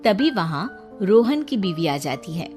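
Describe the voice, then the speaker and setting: A woman's voice: medium pitch, very animated, unhurried, darkish tone. A woman reading a scripted story as its narrator. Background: background music.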